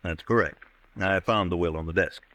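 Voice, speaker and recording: A man acting a measured district attorney's investigator in an old-time radio drama, formal and methodical. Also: low voice, a bit bright, no room sound. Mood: probing. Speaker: radio actor.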